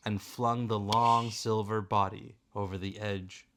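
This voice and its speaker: A man narrating a storybook aloud in a deliberate, expressive, dramatic way. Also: lowish voice, a little hiss or hum. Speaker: narrator, father reading aloud.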